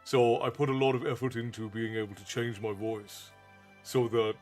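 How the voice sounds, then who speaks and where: A man's voice: mid-range voice, steady tone, normal speed, darkish tone, faint music behind. Folksy Irish-tinged streamer man. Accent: Americanized Southern Irish. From Ireland. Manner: folksy, thanks chat by name, calls viewers "love". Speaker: streamer and storyteller host. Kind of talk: casual livestream chat.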